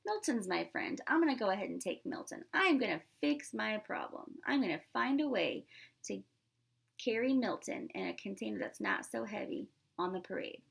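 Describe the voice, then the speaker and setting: A woman's voice: medium pitch, very animated, normal speed, vocal fry, breathy edge, full character voice. A woman reading the lines of a determined, inventive young girl from a picture book in an earnest manner.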